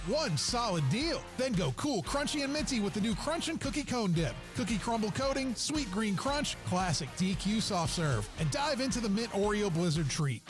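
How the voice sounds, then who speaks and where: A man reading an upbeat scripted commercial as an announcer. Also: high-pitched.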